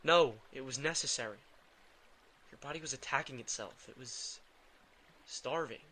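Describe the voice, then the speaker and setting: A man playing a drained, guilt-ridden vampire in an intimate audio drama, speaking haltingly and defensively. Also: medium pitch, pushed and bright. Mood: subdued, guilty. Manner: halting, defensive, stammering under pressure.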